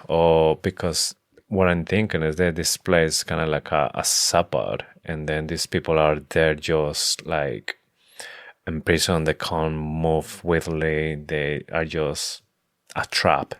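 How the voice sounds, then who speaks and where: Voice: rumbling low, a little slow, dry recording, studio quality. A podcast co-host in a casual book discussion, with non-native English phrasing and a tentative, searching way of talking.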